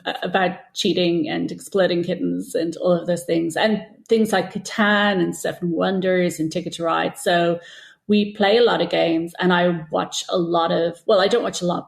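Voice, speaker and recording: A woman's voice: on the low side, creaky. A middle-aged woman talking casually as an interview guest, chatty and informal, thinking aloud as she goes.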